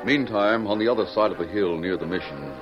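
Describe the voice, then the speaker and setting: A man's voice: fairly low pitch, brisk. A man narrating in a dramatic old-time radio announcer style. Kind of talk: 1940s radio scripted narration. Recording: dull old radio recording with music.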